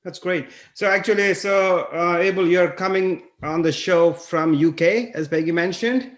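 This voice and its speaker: A man's voice: high voice, a little slow, soft background music. A man co-hosting a podcast, asking rambling, hesitant questions full of fillers. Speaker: podcast co-host. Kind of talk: interview questioning. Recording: roomy echo.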